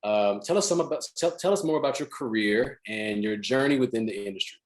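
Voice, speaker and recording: A man's voice: on the low side, fairly quick. A man in his thirties hosting a video-call panel from his living room, upbeat and welcoming. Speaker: host. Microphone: webcam on video call.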